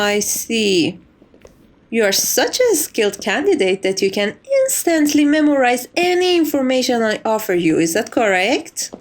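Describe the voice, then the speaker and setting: Woman as a prim, higher-voiced chief maid. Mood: dry, disapproving. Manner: formal, measured, politely condescending. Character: prim, composed, strict but fond chief maid of vampire estate. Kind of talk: ASMR roleplay acting.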